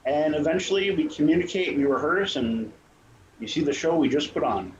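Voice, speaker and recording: A man with a fairly high voice talks casually as a director in a panel discussion. He rambles and rephrases as he goes. The sound is echoey and a little dull, with faint noise. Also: fairly quick. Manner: rambling, repeats and rephrases himself. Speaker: radio play director and troupe leader.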